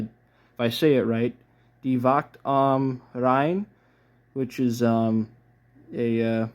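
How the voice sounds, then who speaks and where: A man presents his collection in a casual unboxing style. His delivery is low-key and halting, as if working out his thoughts aloud. His voice sits at an average pitch for a man. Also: darkish tone.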